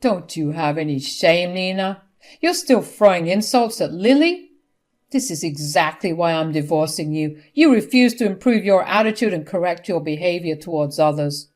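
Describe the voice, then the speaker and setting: A woman's voice: on the low side, lively intonation, moderate pace, a little mellow, close to an everyday voice. Woman voicing a stern middle-aged husband, firm and scolding.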